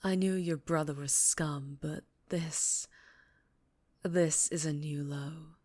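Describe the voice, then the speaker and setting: A woman playing a dangerously charming female mafia boss in an intimate ASMR roleplay, ruthless yet tender, speaking close and hushed with condescending affection. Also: low voice, steady tone, slow. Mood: sympathetic, gentle. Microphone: close-up microphone.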